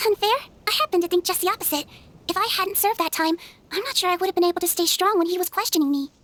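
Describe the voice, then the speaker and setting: A woman's voice: very high voice, fast, a bit bright. A woman playing a small, kindly nurse creature in a video game cutscene, youthful, composed and matter-of-fact. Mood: calm, candid.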